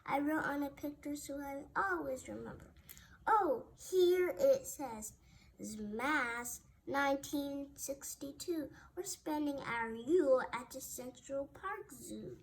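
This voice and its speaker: A woman reading a rhyming children's Christmas story aloud, haltingly, often stumbling over and repeating words. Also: sits high. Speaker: storybook reader. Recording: noticeable background noise.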